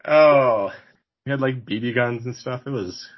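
A man's voice: mid-range voice, unhurried. A man in his forties hosting a podcast interview, rambling casually with frequent laughs and restarts. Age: 40s. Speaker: podcast host. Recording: dull lo-fi sound.

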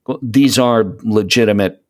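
A man's voice: fairly low pitch, moderate pace, a little mellow. A man in a casual podcast conversation, speaking emphatically and repeating phrases to stress his point. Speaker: podcast guest, hobby voice actor.

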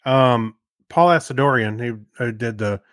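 A man's voice: medium pitch, measured pace, a bit bright, no echo, clean studio sound. A man co-hosting a podcast, chatting in an informal, rambling way. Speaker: podcast co-host. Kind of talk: casual chat.

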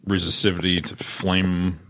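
Low-pitched man, dry close sound. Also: level tone, unhurried, a bit bright, no echo. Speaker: podcast co-host presenting industry news. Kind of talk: casual industry news discussion.

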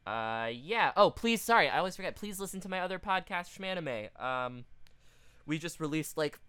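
A man's voice: on the high side, lively intonation, normal speed, bright, forceful tone, clean tone, no room sound. A man co-hosting a podcast in casual chat, speaking informally and swearing often. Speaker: podcast co-host.

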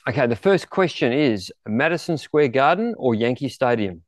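A man's voice: mid-range voice, lively intonation, normal speed, no echo, clean studio sound. A man interviewing a guest casually as co-host of a podcast.

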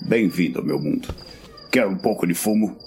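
An actor playing an easygoing, aging pipe-smoking master in a fantasy audio drama, with a husky voice. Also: male voice, deep voice, moderate pace, darkish tone, slight background noise. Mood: relaxed, weary. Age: older. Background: crickets, night insects.

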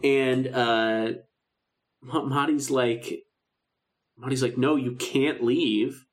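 A man's voice: medium pitch, steady tone, slow. A man co-hosting a podcast, recapping a story in casual, enthusiastic fan talk. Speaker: podcast co-host. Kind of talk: casual chat, recapping a radio drama.

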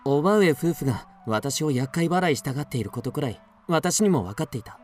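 A man playing an earnest, gentle but resolute young samurai in a period radio drama, speaking formally in courteous archaic phrases. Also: fairly high pitch, animated, brisk, lightly acted. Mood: resolute, tender.

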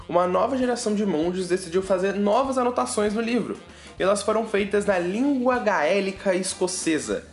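A man with a Brazilian Portuguese accent hosting a casual science news podcast, chatty and joking with listeners. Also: sits high. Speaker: podcast host. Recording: roomy echo with faint music.

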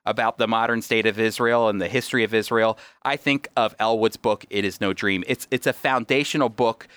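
A man's voice: fairly low pitch, steady tone, brisk, a bit bright, clear, resonant tone, dry recording. A man hosting a teaching program, explaining in a conversational, emphatic way and speaking directly to the listener. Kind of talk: conversational teaching talk with read-out quotations.

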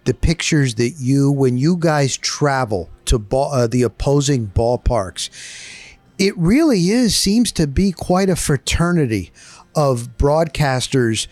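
A middle-aged man interviewing conversationally, with halting, emphatic phrasing. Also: mid-range voice, expressive, a little mellow, no echo. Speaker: interviewer, sports broadcaster.

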